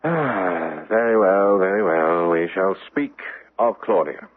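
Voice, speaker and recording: An actor playing a pompous, worldly Roman guardian in a grandiose, theatrical radio drama style. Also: male voice, low voice, animated, takes it slowly, phone-quality sound. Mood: firm. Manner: grandiose, effusive. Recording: band-limited old radio recording.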